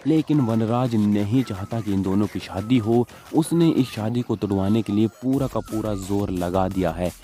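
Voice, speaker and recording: A man's voice: mid-range voice, dark tone. A man delivering a scripted voice-over in the manner of TV gossip news, repeating points to build suspense. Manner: repetitive, suspense-building recap. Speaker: channel narrator of TV serial gossip. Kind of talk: scripted voice-over narration of soap spoilers. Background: background music.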